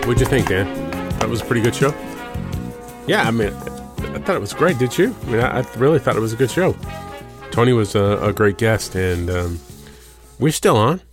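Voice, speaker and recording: A man's voice: medium pitch, lively intonation, normal speed, pauses often, soft background music. A man, hosting and signing off a podcast, rambling and joking as he goes. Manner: rambling, self-interrupting, jokey. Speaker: podcast host. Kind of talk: casual podcast sign-off.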